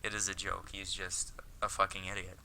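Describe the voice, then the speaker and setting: A man's voice: sits low, flat delivery, normal speed, gravelly, a crowd in the background, poor audio. A man streaming a visual novel, giving casual, crude commentary in mocking, muttered asides.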